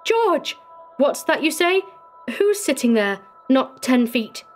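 A woman storyteller acts out a male district attorney gripped by guilt and fear. He speaks anxiously and haltingly, pitched above normal narration, as in a dramatic suspense tale. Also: soft background music, natural voice. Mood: terrified. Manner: anxious, halting, stammering in fright. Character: nervous, guilt-haunted male district attorney, growing terrified. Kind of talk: dramatic short story reading.

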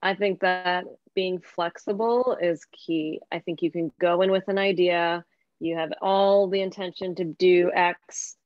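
A woman's voice: fairly low pitch, steady tone, unhurried, no room sound. A young woman speaking casually as a panellist in a discussion. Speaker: restaurant owner panellist. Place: indoors on a video call. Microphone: video call. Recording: dry, slightly dull video-call audio.

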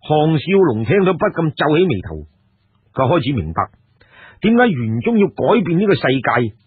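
A man's voice: a little high, big pitch swings, normal speed, slightly rough, no room sound. A man with a Cantonese accent narrating a scripted story expressively as a storyteller. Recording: lo-fi, narrow phone-like radio sound.

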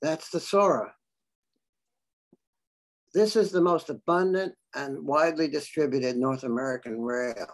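An older man talks through a slide show, stopping often on ums and uhs as he explains each picture. His voice sits a bit high for a man and has a gravelly, creaky edge. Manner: halting, many fillers. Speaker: birding presenter. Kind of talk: slide talk to a club.

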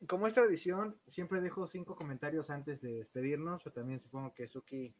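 A man's voice: a little high, a little fast, poor audio. A man with a Mexican accent, casually hosting a live podcast and reading out comments from viewers. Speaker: podcast host.

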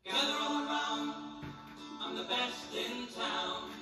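A woman sings a children's action song in a high voice at an unhurried pace, giving movement instructions in a sing-song style. Loud guitar music plays along, and the sound is distant and echoey. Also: steady tone.

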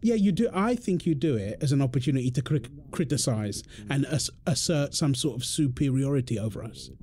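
A man's voice: mid-range voice, animated, brisk, mellow, slight background noise, lightly acted. A British man playing an exasperated, defensive husband in an audio roleplay, arguing in an insistent, repetitive way. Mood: exasperated, argumentative. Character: exasperated, defensive husband and father quarrelling indignantly. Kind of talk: dramatic one-sided argument roleplay.

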